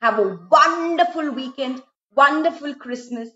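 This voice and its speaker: A middle-aged woman tells a story for children in a dramatic, expressive way, with emphatic repetition. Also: high-pitched, unhurried. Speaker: storyteller, host. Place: small room indoors at home. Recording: roomy, slightly dull home recording.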